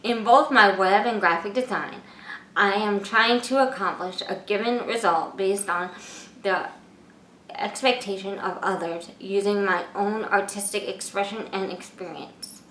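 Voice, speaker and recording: A woman in her twenties, an artist, narrating a scripted introduction to her personal video in a measured, read-aloud way. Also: medium pitch, a bit bright, slight background noise. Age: 20s. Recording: echoey room.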